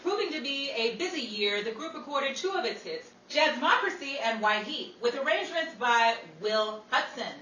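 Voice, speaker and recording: A woman's voice: sits high, animated, moderate pace, few pauses, bright, forceful tone. A woman presenting a scripted history segment like an old-time newsflash, with formal, theatrical phrasing. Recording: echoey, distant, faintly noisy.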